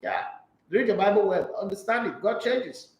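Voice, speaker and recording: A man hosting a sports talk show, reading news and viewer comments with animated, opinionated asides. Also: high-pitched, moderate pace, roomy sound.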